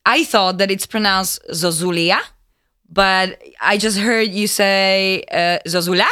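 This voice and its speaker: Woman, bright forceful tone, encouraging host, casual interview, dry recording. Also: medium pitch, fairly even intonation, a little slow, clear, resonant tone, dry recording. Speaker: podcast host.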